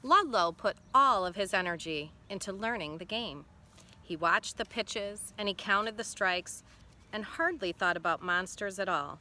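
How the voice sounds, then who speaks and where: A woman's voice: medium pitch, pushed and bright. A woman teacher reading a picture book aloud to children expressively, with instructive asides and questions to check in.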